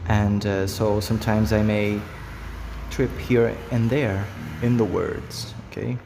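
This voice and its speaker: Slow, gravelly man with a Brazilian accent. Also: on the low side, flat delivery, big-room sound, noisy background. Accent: Brazilian Portuguese. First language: Portuguese. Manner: rambling, repeats himself, many fillers. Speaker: vlogger. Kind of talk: unscripted talk to camera.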